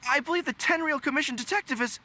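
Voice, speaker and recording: An actor playing an earnest, dutiful young general in a video game, speaking formally and deferentially. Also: male voice, very high voice, quick pace, background noise. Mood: worried, respectful. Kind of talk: animated video game voice acting.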